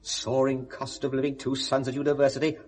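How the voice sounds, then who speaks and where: An actor playing a respectable, hypocritical middle-aged English man, indignant and smoothly self-justifying, in a 1970s British radio drama. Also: medium pitch, monotone, a little fast, darkish tone, natural voice. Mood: pleading, defensive. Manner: indignant, evasive, smoothly reasoning.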